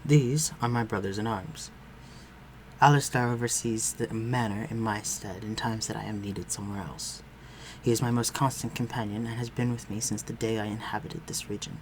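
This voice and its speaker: A man playing a refined, protective vampire lord in an intimate audio roleplay, speaking formally and with measured command. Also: lowish voice, fairly even intonation, slight background noise, lightly acted, low-quality recording. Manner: formal, measured, archaic phrasing, dropping to breathy murmurs.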